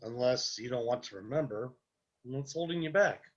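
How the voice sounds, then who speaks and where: A man playing a composed, probing support-group leader with a husky voice, in a dramatic script read-through. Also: medium pitch, lively intonation, moderate pace, muffled recording, natural voice.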